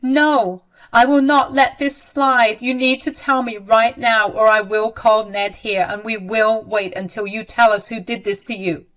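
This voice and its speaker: A woman's voice: on the high side, steady tone, unhurried, hardly any pauses, fairly bright tone, rough recording. A woman voicing a young bride who is protective and indignant, speaking emphatically. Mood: worried, outraged. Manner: emphatic and outraged.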